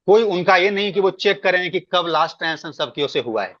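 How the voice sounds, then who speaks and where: Man, emphatic meeting explainer, slightly high pitch, lo-fi dry conference audio. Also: animated, brisk, a bit bright, no echo. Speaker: meeting speaker giving guidance. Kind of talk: explanatory work meeting talk. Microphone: online conference call. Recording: dull, lo-fi conference call.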